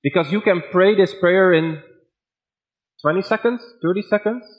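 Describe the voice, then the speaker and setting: A man with a Dutch accent, a guest preacher giving a sermon in simple, plain English at a measured pace. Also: high voice, dull audio.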